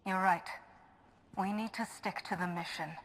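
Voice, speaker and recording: A woman's voice: mid-range voice, fairly even intonation, moderate pace, a bit bright. A woman playing a level-headed, direct hero in a video game, earnest and controlled. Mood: determined, serious. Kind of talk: video game cutscene acting.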